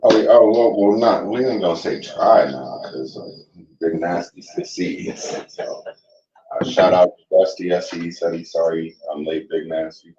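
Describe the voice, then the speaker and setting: A man from Cleveland, a guest cook and wrestler, talking casually and giving shout-outs. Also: low-pitched, moderate pace. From Cleveland, Ohio. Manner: laid-back, gives shout-outs. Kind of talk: casual podcast chat. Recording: dull, roomy, distant mic.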